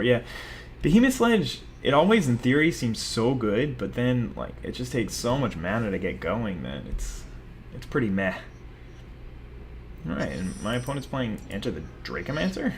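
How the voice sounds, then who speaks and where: A man's voice: medium pitch, a little hiss or hum. A young man giving rambling, self-mocking commentary over his own card game, talking casually to viewers. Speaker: gaming video host.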